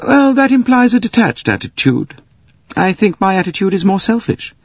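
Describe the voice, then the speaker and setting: A man's voice: high voice, very animated, moderate pace, no echo, muffled recording, voice pushed up, full character voice. Man doing a cool, composed young lady. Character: calm, aloof young English lady.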